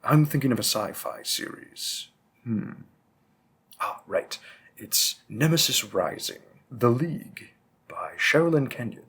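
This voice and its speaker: Guy playing a bookish vampire shopkeeper in an audio rp, husky voice, slow n musing, patient but kinda menacing. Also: medium pitch, animated, fairly bright tone, exaggerated voice. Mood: musing, keen. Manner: musing, hesitant, deliberate, with frequent pauses. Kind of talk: roleplay audio drama monologue, conversational.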